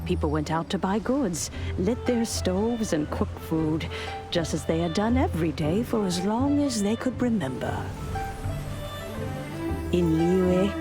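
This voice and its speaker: An actress voicing a dignified, solemn ancient immortal woman who tells old tales in a stately, measured game-dialogue manner. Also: lowish voice, lively intonation. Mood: wistful. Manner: stately, measured, storytelling delivery. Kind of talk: scripted video game storytelling. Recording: music under the voice. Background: background music.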